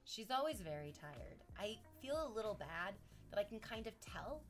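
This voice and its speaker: A woman's voice: mid-range voice, very animated, moderate pace, soft background music. A woman chatting casually on a livestream, rambling to her viewers and cooing at her dog in baby talk.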